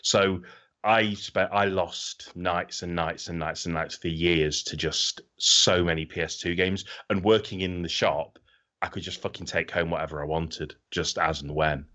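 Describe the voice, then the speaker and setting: A man's voice: low-pitched, steady tone, measured pace, pushed and bright. A middle-aged man chatting casually as a podcast host, rambling through anecdotes and swearing freely.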